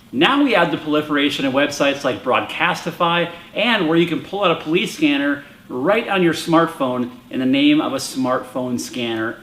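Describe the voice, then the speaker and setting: An American man gives explanatory commentary in a somewhat high-pitched voice. He is conversational but emphatic, laying out his opinion like a presenter. The recording has a strong room echo around his words. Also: a bit bright. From United States. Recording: roomy echo.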